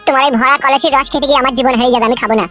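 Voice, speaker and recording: A man's voice: very high voice, fairly even intonation, very fast, loud music behind the voice. A man voicing a timid, simple-hearted henpecked husband in an amateur comedy cartoon, naive and fearful. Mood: reluctant.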